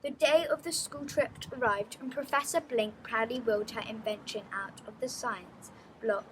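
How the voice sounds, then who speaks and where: A woman reading a children's book aloud as an expressive storytelling narrator. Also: fairly high pitch, normal speed, a little hiss or hum, rough recording.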